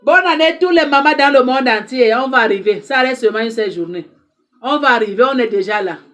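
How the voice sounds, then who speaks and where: High-voiced woman, fervent and exhorting. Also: expressive, a little fast, a bit bright, soft background music. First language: not French. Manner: emphatic and repetitive, preaching, exhorting listeners. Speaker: online advice counselor. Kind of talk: impassioned direct-to-camera address.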